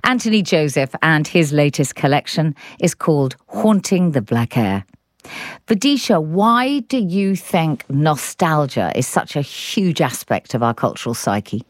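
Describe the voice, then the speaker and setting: A woman's voice: deep voice, sing-song, measured pace, no echo, clean studio sound. A woman hosting an arts show with scripted broadcast presenting. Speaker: radio or TV show host.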